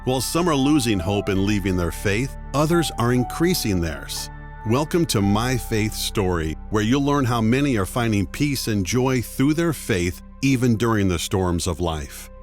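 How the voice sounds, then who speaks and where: A man reading a scripted program introduction as an announcer, in a measured, polished delivery. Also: fairly low pitch, expressive. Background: music.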